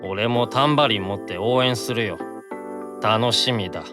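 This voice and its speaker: A man voicing an earnest, gentle young male demon in a scripted promo for an anime game, plain-spoken and friendly. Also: fairly low pitch, normal speed, music in the background, lightly acted.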